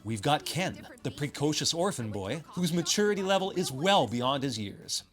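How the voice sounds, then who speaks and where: A man's voice: fairly high pitch, very animated, moderate pace, music in the background. A man delivering scripted video game commentary in a sardonic, wry way with exaggerated emphasis.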